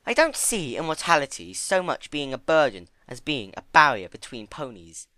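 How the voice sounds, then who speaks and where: A man's voice: a little high, sing-song, moderate pace, sharp tone, no room sound, pitched above the performer's own voice. A man voicing a refined, vain female pony in an affected, theatrical manner for a dramatic storybook reading. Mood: sombre. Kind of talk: dramatic fan fiction reading.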